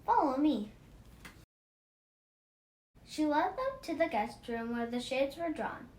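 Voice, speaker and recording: A woman reading a children's story aloud in an expressive, animated manner. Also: moderate pace. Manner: animated, acts out dialogue. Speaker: storybook reader for a children's book club. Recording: roomy echo, faint rumbling noise.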